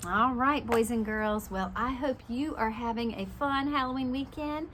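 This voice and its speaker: A woman's voice: on the high side, normal speed, a bit bright, a little hiss or hum. A woman preschool teacher reading a storybook aloud and adding simple explanations and questions for young children.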